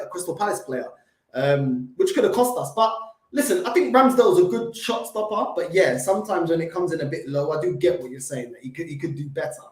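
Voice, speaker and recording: A man with a London accent, co-hosting a casual football fan live chat, bantering in slang and reading out comments. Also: fairly high pitch. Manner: banter-filled, laughs often, London slang. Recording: roomy echo.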